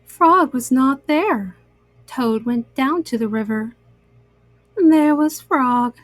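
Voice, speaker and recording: A woman storyteller narrating a children's book with simple, deliberate phrasing. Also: high voice, no room sound.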